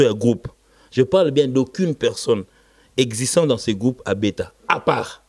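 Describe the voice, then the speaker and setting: A man with a Congolese accent and Lingala as his first language speaks as an interviewed musician, emphatic and repetitive, switching between halting French and Lingala. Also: medium pitch, a little mellow. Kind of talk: casual interview, recounting band history.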